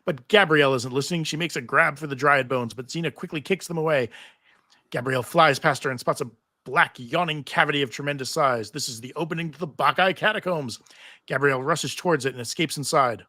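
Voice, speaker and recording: Casting: male narrator for script stage directions, forceful bright tone, slightly high pitch. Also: fairly quick. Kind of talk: scripted narration at a live table read.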